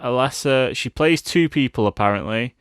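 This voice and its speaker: A man's voice: mid-range voice, moderate pace, clear, resonant tone, no room sound, clean studio sound. A man co-hosting a podcast, talking about films in an informal, conversational way. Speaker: podcast co-host. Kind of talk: casual film discussion.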